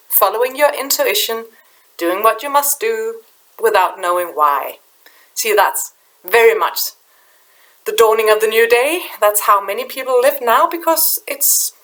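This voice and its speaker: A middle-aged woman from Denmark with a Danish accent, speaking English softly at her webcam, halting and repeating words as she gropes for them. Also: medium pitch, rough recording. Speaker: spiritual channeler. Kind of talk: casual spiritual vlog talk. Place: small room indoors. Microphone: webcam in front of her.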